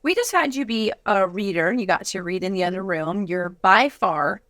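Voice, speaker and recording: A woman's voice: mid-range voice, animated, moderate pace, a bit bright, no echo, studio quality. A woman hosting a casual, chatty interview in an enthusiastic, exclamatory way. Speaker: interviewer and host.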